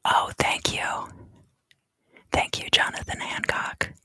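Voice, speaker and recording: A woman giving an ASMR card reading for a live stream in a soft, unhurried, often fully whispered voice, close to the microphone. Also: no room sound. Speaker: ASMR tarot reader and live stream host.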